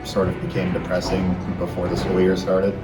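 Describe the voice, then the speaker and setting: A man's voice: sits low, monotone, moderate pace. An eighteen-year-old man speaking matter-of-factly in an interview. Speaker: high school student interviewee. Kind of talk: documentary interview. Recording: echoey room, mic far off. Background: background music.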